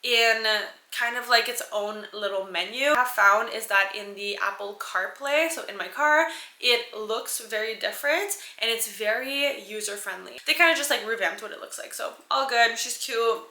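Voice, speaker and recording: Woman vlogger, French as first language, bright crisp tone, fairly high voice, echoey room. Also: moderate pace. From Canada. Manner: chatty, enthusiastic, upbeat. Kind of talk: casual chat to camera.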